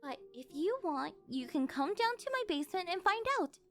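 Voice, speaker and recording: A woman's voice: very high voice, expressive, normal speed, soft background music. A woman playing a rich, possessive older yandere girlfriend in a scripted ASMR roleplay, coaxing sweetly and rambling a little awkwardly. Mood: coaxing, doting.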